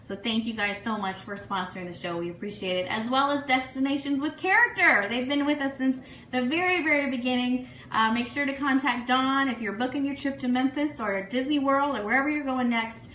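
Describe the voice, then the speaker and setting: A woman with a bright, fairly high voice hosts a casual livestream show. She chats in an effusive, excitable way, gushing through shout-outs to sponsors and listeners. The sound has the echo of a live room. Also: a little fast. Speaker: online radio show host. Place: room, live social media stream. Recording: echoey room, faint noise.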